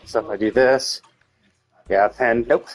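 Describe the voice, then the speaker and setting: A man giving casual, unscripted gameplay commentary on a live stream, rambling and thinking out loud in a husky voice. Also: mid-range voice, steady tone, measured pace, faint music behind.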